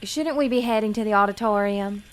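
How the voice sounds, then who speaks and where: A woman's voice: medium pitch, fairly quick, a little hiss or hum, lightly acted. A woman playing an innocent, friendly young pageant contestant in an audio drama, guileless and sweet. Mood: sweet, trusting.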